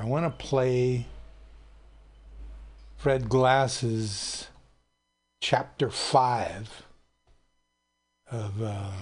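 A man hosting a radio show, apologizing and talking haltingly with many fillers. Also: fairly low pitch, a little mellow, husky. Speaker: radio host.

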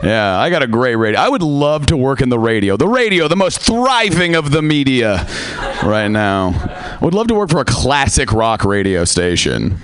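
A man's voice: mid-range voice, sing-song, normal speed, fairly bright tone. A young man from Seattle performing a stand-up set on a club stage, sardonic and laid-back, trailing off into filler words. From Seattle, USA. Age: young adult. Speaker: stand-up comedian. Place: comedy club stage, live audience.

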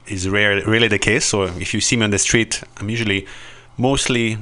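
A man's voice: on the low side, steady tone, normal speed, bright, forceful tone. Hesitant man in his thirties. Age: 30s. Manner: hesitant, many fillers. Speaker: guest. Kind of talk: casual radio discussion.

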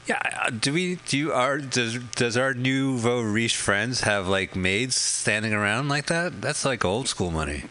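A man's voice: mid-range voice, lively intonation, unhurried, few pauses, bright, forceful tone. A man co-hosting a radio show, joking and riffing casually while watching a movie. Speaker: radio co-host.

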